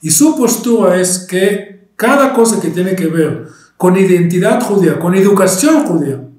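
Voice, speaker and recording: Israeli guy lecturing, argentine-ish spanish accent, fairly high voice, lots of ums, echoey room. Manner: hesitant, many fillers and restarts. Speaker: historian lecturer.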